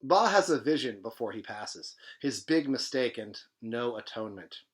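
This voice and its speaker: Man performing hip 1968 novel narrator, male, medium pitch, punchy, slangy, emphatic, dramatic literary reading. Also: expressive, moderate pace, lightly acted. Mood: vivid, urgent. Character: hip, punchy 1968 storyteller posing as news anchor.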